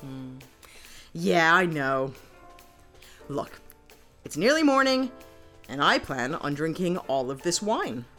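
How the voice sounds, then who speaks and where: Female police sergeant, lower-pitched, forthright, wry, persuasive, very lively intonation, audio drama. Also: slow pace, long pauses, faint music behind, exaggerated voice. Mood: warm, persuasive.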